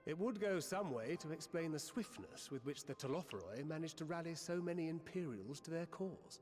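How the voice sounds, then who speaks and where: A slightly high-voiced scholarly man, played by an actor delivers a grave, analytical report in a fantasy game. His precise, formal words ring in a long reverb over music. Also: expressive, a little fast, darkish tone, close to an everyday voice. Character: measured, scholarly male ally giving a grave report. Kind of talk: scripted video game voice acting.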